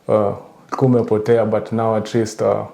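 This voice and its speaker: A young man, a soldier answering interview questions calmly in short replies. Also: on the low side, a little slow, vocal fry. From raised in Kenya, born in Rwanda. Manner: brief, calm answers. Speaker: guest, US Army soldier.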